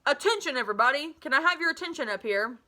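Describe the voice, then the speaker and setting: A woman's voice: high-pitched, expressive, quick pace, pushed and bright, pitched above the performer's own voice. A woman reading the lines of a serene, confident eighth-grade boy in a storybook, declaiming as if to a crowd. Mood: solemn, rallying.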